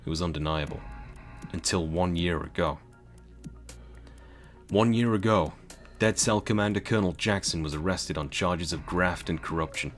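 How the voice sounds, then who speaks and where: A man's voice: deep voice, normal speed, soft background music. A British man reading a game novel aloud and breaking off into casual, swearing commentary. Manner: chatty, swearing, laughs at own jokes.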